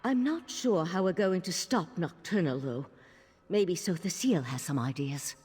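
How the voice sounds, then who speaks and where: An actress playing a grave, weary mage leader in a fantasy video game, speaking formally and deliberately with wry skepticism. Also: female voice, on the low side, lively intonation, a little mellow, soft background music. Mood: businesslike, concerned. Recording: long hall-like reverb.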